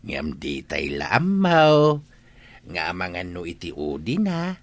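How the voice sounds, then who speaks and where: A man playing a jolly, obliging village neighbour in a radio drama, animated and reassuring. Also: low voice, slow, no room sound, full character voice. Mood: musing. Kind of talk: Ilocano radio drama acting.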